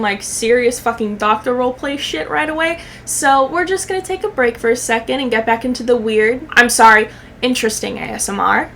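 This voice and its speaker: A woman in her twenties giving casual comedic reaction commentary as a channel host, sarcastic, profane and expressive. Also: high-pitched, a little hiss or hum.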